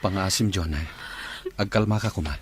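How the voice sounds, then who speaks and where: A man's voice: deep voice, normal speed, slightly rough. A man with a Filipino accent playing a placating young boyfriend in a radio drama. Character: young boyfriend, calming and reasoning.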